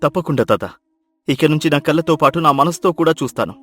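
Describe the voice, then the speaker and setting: A man's voice: a little high, fast talker, a bit bright, soft background music, natural voice. An actor playing an educated young city man, humbled and repentant, speaking earnestly and emphatically in a dramatized moral story. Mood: earnest, grateful.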